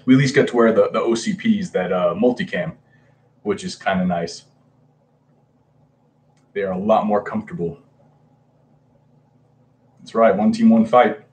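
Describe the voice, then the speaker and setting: A man's voice: on the low side, moderate pace, long pauses, darkish tone. A man in his thirties casually chatting on a livestream, greeting viewers by name with lots of hesitant fillers. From Hawaii. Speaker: comic book livestreamer.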